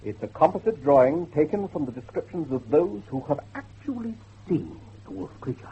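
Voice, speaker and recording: An actor playing an earnest, excitable scholar of werewolves, professorial and halting, with a husky voice in a horror drama. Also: male voice, on the high side, highs cut off, a little hiss or hum. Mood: earnest, ominous. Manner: professorial, hesitant, halting emphasis. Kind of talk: dramatic horror dialogue acting.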